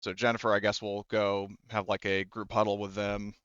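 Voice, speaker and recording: Smooth low-voiced man running a tabletop game. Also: flat delivery, normal speed, a bit bright, no room sound, lo-fi sound. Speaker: game master. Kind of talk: improvised tabletop game narration.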